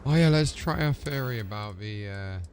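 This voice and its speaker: A man giving casual gameplay commentary as a streamer, thinking out loud in short remarks. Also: medium pitch, animated, normal speed. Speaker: game streamer. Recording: loud noise under the voice.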